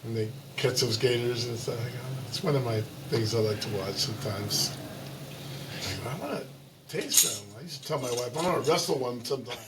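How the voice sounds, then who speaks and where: An older male musician, hoarse from singing the night before, chatting playfully as a guest. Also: medium pitch, brisk, noisy background. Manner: playful, jokey, breaks into song. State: hoarse, losing his voice. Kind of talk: casual interview chat.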